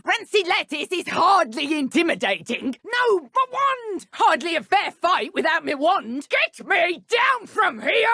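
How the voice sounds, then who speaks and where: Nasty british dark witch from a video game, swingy pitch, super dry close recording, sneery and taunting. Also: gravelly, no room sound, heavily acted. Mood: mocking, then angry, alarmed. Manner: taunting, contemptuous. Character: menacing, sneering dark witch poacher, adult woman. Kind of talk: video game character voice lines.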